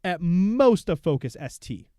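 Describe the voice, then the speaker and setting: A man's voice: sits high, very animated, measured pace, mellow, dry recording, clean studio sound. A man in his thirties co-hosting a casual car podcast, wry and self-deprecating. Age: 30s. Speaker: podcast co-host.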